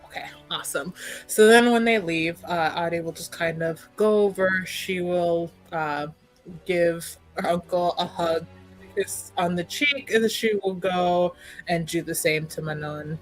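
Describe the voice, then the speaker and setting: A woman's voice: lowish voice, unhurried, faint music behind, low-quality recording. A woman casually improvising narration as a player in a tabletop roleplaying game.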